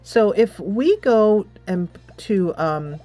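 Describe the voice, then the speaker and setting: A woman's voice: medium pitch, animated, slow pace, dark tone, faint music behind. A woman gaming host giving casual commentary, thinking aloud as she plays a tactics game.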